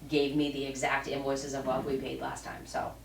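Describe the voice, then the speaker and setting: A woman with a low voice talks casually through meeting business, pausing on fillers as she goes. She sounds distant from the mic, and the room is echoey. A faint background noise sits under her voice. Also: completely flat delivery, gravelly. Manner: chatty, halting with fillers. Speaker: committee staff member.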